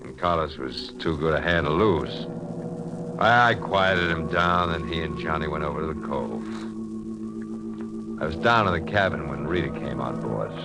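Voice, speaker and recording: A man's voice: very deep voice, expressive, moderate pace, creaky, over loud music, heavily acted. A man playing a devoted, earnest, plain-spoken fishing boat skipper in a 1940s radio drama. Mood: wistful. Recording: noisy old radio recording.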